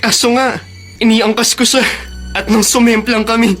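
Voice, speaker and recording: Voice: mid-range voice, steady tone, normal speed, a bit bright, faint music behind, lightly acted. A performer playing a grieving, guilt-ridden child in a radio drama, plaintive and tearful, with a Filipino accent. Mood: tearful, guilty.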